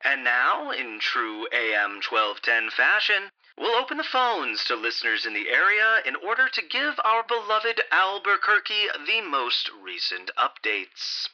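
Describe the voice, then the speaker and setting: A man's voice: on the high side, sing-song, unhurried, hardly any pauses, sharp tone, heavily acted. A man playing a slick, exasperated local radio news host with a showy announcer delivery. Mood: showy, urgent. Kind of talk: radio broadcast acting. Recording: dull AM radio broadcast sound.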